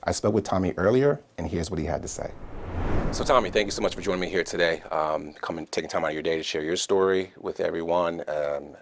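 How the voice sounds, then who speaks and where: A man hosting an interview, reading a scripted guest introduction and then chatting casually with short affirmations. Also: deep voice. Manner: friendly, affirming, frequent "okay" and "awesome". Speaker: interview host.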